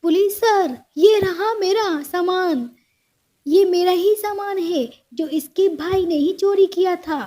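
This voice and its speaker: Woman voicing a high, accusing crow lady. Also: slow pace, dark tone. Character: accusing female crow who was robbed. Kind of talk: cartoon story dialogue.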